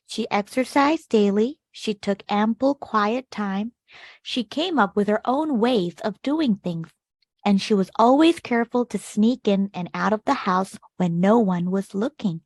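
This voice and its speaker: A woman's voice: on the high side, no room sound, studio quality. A woman reading a picture book aloud to children as a storytime host, leaning on key words as she tells it. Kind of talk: expressive picture-book reading with short asides to young listeners.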